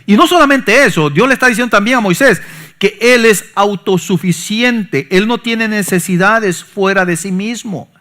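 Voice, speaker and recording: A middle-aged pastor, preaching a sermon that swings from forceful emphasis to soft, low asides. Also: male voice, moderate pace. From Honduras. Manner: preaching with sudden soft drops and rising emphasis.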